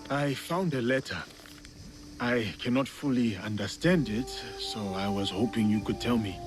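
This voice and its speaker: Honourable male samurai, played by a man, unhurried and grave, video game drama, music behind. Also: medium pitch, lightly acted. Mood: grave, respectful. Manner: measured, grave, deliberate. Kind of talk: video game cutscene acting.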